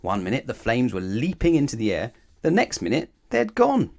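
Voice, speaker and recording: A man reading a children's story aloud in an expressive, animated way, with emphatic phrasing aimed at the listener. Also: medium pitch, brisk, gravelly. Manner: animated, asks the listener questions, emphatic repetition.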